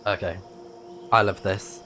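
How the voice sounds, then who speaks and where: Easygoing male game streamer, unhurried pace. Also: fairly low pitch, steady tone, bright, forceful tone, faint music behind. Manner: easygoing, reacting with short exclamations. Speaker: livestreamer playing a video game. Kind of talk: casual reactive game commentary.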